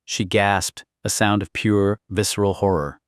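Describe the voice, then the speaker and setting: A man's voice: fairly low pitch, fairly even intonation, measured pace, dry recording, clean studio sound. A man narrating a historical saga as an audiobook, in a solemn, theatrical scripted style.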